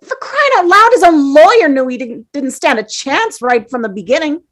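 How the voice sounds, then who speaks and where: A woman's voice: high-pitched, animated, normal speed. A woman playing a brash, impatient, sarcastic male juror in a scoffing, exclamatory way at a dramatic table read. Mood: scornful.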